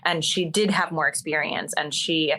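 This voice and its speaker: Bright-voiced woman telling a backstage story. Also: deep voice, fairly even intonation, moderate pace. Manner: chatty, many fillers and restarts. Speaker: stage actor and singer, guest. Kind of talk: casual conversational storytelling.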